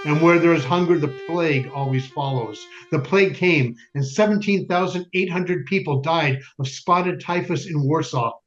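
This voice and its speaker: A man acts out a bereaved wartime father on stage, solemn and deliberate, his voice a little high for a man. He phrases heavily and pauses often, tender yet stern. The recording is slightly muffled. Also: natural voice. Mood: solemn, bitter. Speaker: stage actor in community theater. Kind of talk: dramatic stage monologue, solemn narration. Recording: dull lo-fi recording, music underneath.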